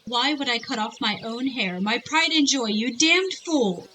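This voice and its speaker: A woman playing an irate, sharp-tongued goddess wife in an audio drama, scolding and exasperated. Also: fairly high pitch, a little slow, poor audio. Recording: noticeable background noise.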